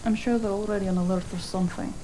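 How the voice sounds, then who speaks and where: A woman playing a bossy, sharp-witted cyberpunk fixer in a noir audio drama, sardonic and scolding. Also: medium pitch, steady tone, fast, noisy background, close to an everyday voice. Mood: brisk planning. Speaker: voice actor.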